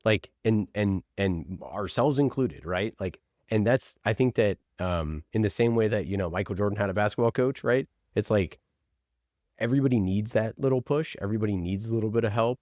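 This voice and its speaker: A man's voice: on the low side, fairly quick, a little mellow, no echo, studio quality. A man speaking as a podcast producer and guest, answering conversationally and repeating phrases for emphasis.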